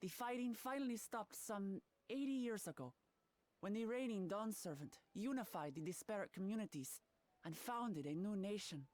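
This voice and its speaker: A woman's voice: mid-range voice, expressive, normal speed, a little mellow, dry recording, lightly acted. A woman voicing a spirited young princess in a video game, speaking in an earnest, resolute, plain-spoken way. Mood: solemn, explanatory. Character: earnest, spirited young princess seeking her father's throne. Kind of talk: video game cutscene acting.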